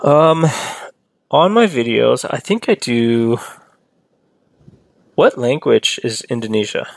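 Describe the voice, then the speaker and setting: Male game streamer, casual chatty play-by-play, gravelly edge, dry close sound, talks to chat. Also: medium pitch, big pitch swings, slow, no echo. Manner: chatty, reads and answers chat, rambling asides. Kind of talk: casual live-stream commentary.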